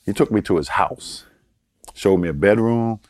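A man in his sixties from Baltimore, a guest telling stories from his life in a relaxed way, chuckling and repeating words for emphasis. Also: on the low side, moderate pace, dry recording, clean studio sound. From Baltimore, Maryland. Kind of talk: casual storytelling interview.

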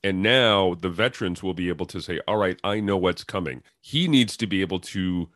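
A man hosts a sports podcast in a fairly low voice. The sound is dry, with very little room in it. He moves through prepared intros and interview questions. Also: a little fast, a bit bright, dry recording.

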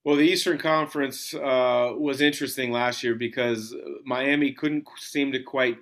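A man's voice: medium pitch, fairly even intonation, normal speed, a bit bright. A man hosting a sports podcast, chatting casually as he interviews a guest.

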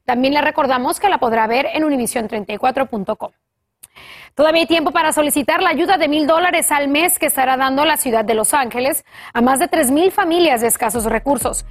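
High-voiced woman reading the news. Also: fairly quick. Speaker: news anchor.

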